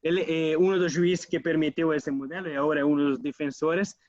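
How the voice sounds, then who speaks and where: A man's voice: a little high, fairly even intonation, a little fast, darkish tone, no room sound, highs cut off. A man from Italy, a guest on a radio interview who talks hesitantly with many fillers and restarts. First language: Italian.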